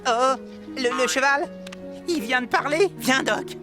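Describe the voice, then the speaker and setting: A woman's voice: high-pitched, unhurried, music in the background. A woman voicing a curious, plucky young animal adventurer in a French cartoon dub. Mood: puzzled.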